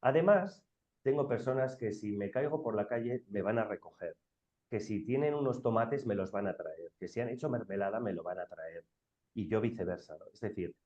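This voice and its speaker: Low-voiced northern Spanish man, dull audio. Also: lively intonation, normal speed, darkish tone. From Bilbao, Spain. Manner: emphatic, colloquial, uses rhetorical questions. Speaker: round-table panelist. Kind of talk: informal panel talk.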